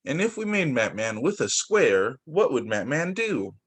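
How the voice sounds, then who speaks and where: A young man who teaches young children, reading picture books aloud in a sing-song, expressive way over a video call. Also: mid-range voice, unhurried, husky. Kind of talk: read-aloud story time for young children.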